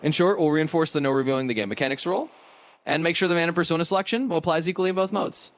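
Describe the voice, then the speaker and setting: A man's voice: fairly high pitch, rapid-fire. A man's voice as an AI chatbot assistant, explaining in an easygoing, reassuring way. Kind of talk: casual explanation.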